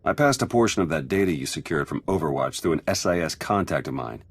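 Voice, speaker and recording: A man's voice: rumbling low, animated, quick pace, husky, dry recording, exaggerated voice. An actor playing a sly male spy with a dry, wry, confiding manner, in a video game.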